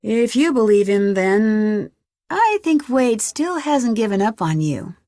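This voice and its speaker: A woman's voice: on the high side, very animated, slow pace, dark tone, no room sound. Inquisitive young American girl detective, dry sound. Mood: friendly, coaxing. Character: inquisitive, determined young female amateur detective. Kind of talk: scripted video game dialogue.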